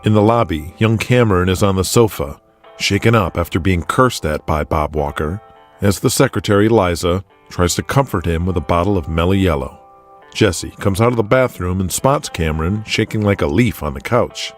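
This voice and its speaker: A man's voice: low-pitched, expressive, normal speed, faint music behind. A man reading dramatic scripted narration as the narrator of an audio drama.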